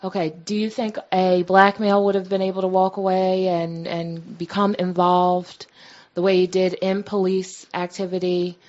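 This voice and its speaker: Lower-pitched woman, slightly dull audio. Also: level tone, moderate pace, a little mellow. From United States. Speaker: panelist questioning a guest. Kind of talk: polite, deliberate questioning on radio.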